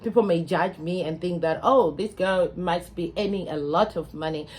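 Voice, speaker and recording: A woman's voice: fairly low pitch, moderate pace, a little mellow. Zimbabwean woman giving earnest advice. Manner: earnest, advising, with frequent fillers. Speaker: English teacher and vlogger. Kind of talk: casual talk to camera.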